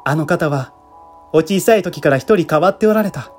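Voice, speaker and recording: Storybook role: proud, loyal middle-aged wife, done by a man, respectful, earnest, higher-pitched, music underneath. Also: moderate pace, darkish tone, lightly acted. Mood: proud, admiring. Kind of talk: literary reading with acted dialogue. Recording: music bed behind the voice.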